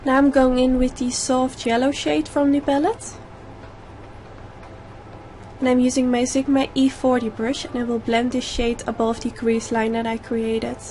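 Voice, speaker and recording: A young woman with a slightly hoarse voice from a sore throat, calmly explaining each step of a makeup tutorial in a voice-over. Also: high-pitched, a little slow, slight background noise. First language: Dutch. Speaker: makeup YouTuber.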